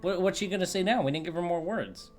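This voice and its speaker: A man's voice: high voice, fast, music in the background. A man co-hosting a gaming video, talking casually in a laid-back, somewhat hesitant way. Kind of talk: casual chat, game commentary. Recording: faint background noise.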